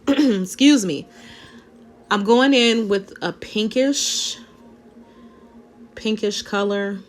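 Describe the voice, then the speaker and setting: A woman, deep and a little raspy after a sinus infection, chatting through a nail tutorial voice-over and talking straight to her viewers. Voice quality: naturally deep voice. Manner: chatty, rambling, addresses viewers directly. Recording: faint background music.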